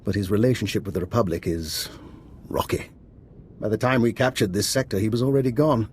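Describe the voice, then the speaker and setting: An actor playing a polite, erudite scientist-spy in a video game, with formal, measured phrasing. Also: male voice, on the low side, a little hiss or hum, close to an everyday voice. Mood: musing, admiring. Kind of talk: dramatic game dialogue.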